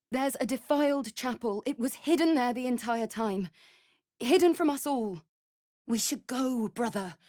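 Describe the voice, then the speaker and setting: A woman's voice: high voice, dark tone, no room sound, clean studio sound. An actress playing an earnest, anxious female vampire spawn, a loyal sister who speaks formally, in a video game. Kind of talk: dramatic video game voice acting.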